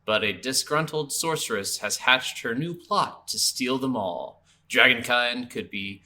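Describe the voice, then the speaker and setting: A man with a mid-pitched, bright and crisp-edged voice. He talks casually and agreeably as a co-host on a gaming podcast. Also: unhurried, a little hiss or hum. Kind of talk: casual chat about video games.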